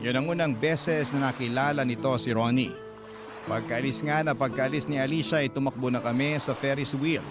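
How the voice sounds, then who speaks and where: A man's voice: medium pitch, normal speed. A man giving scripted first-person dramatic narration as a radio storyteller. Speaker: storytelling radio host reading a letter. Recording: loud music bed under voice.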